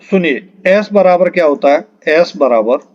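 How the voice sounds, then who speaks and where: A man's voice: a little high, animated, measured pace, highs cut off. A man teaching an exam-preparation lesson step by step, explaining patiently and repeating key terms as he works through questions.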